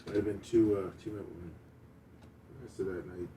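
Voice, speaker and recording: A man's voice: low voice, fairly even intonation, a little fast, dark tone. Male radio host, casual live chat, recorded at a distance with faint noise. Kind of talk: casual live chat, reading out listener names. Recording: noisy room, distant from mic.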